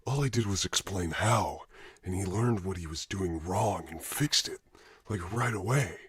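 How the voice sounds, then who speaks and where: A man voicing a gruff, patient superhero combat mentor in an amateur comic dub, stern and instructive. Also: deep voice, unhurried, heavily acted. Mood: calm, impressed.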